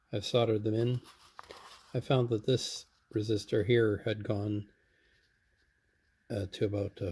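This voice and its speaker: A man giving unscripted, step-by-step commentary as a hobbyist repairer, halting as he thinks aloud. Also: medium pitch.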